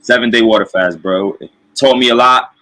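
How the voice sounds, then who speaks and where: A man's voice: medium pitch, fairly quick, bright, forceful tone, low-quality recording. A man hosting a casual livestream, talking informally with lots of slang and repeated phrases. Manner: informal, repetitive, slangy with frequent "bro". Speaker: livestream host.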